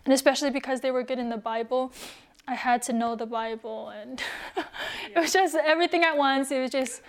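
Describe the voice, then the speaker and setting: A young woman from the United States, soft-spoken and hesitant, telling of her missionary years in a casual interview. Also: sits high, a little fast. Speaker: returned missionary guest.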